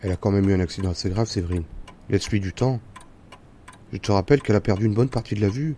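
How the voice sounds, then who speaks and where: Man acting a quick, mellow-voiced patient father. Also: fairly low pitch, fairly even intonation, a little hiss or hum, lightly acted. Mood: pleading patience. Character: patient, understanding father of a teenage girl. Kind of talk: amateur audio drama acting.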